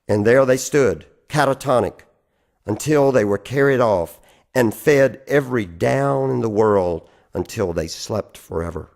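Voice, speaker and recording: A man performing a dramatic spoken-word poetry reading, phrasing deliberately and with theatrical emphasis. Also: medium pitch, vocal fry, darkish tone. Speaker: reader of poetry.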